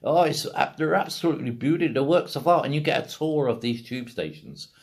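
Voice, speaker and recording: A man's voice: medium pitch, brisk, gravelly, darkish tone. A middle-aged British man telling rambling personal stories casually, in a blunt, emphatic way with plenty of swearing. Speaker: video blogger.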